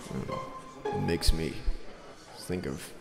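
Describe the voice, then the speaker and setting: A man playing a dreamy, intoxicated rogue pilot in a radio drama, halting and drowsy. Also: a bass voice, gravelly, exaggerated voice. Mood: woozy, dreamy. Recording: long reverb, loud music.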